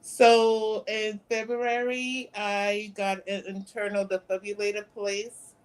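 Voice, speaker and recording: Flat-voiced woman in her fifties. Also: medium pitch, slow pace, clean tone, rough recording. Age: 50s. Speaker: guest. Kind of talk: interview conversation.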